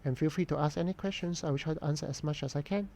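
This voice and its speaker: Mellow-voiced man. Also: a little high. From Hong Kong. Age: 40s. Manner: unhurried, thoughtful, pauses often with "um". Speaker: artist live-streaming a drawing session. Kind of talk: casual live-stream chat while drawing, answering viewer questions.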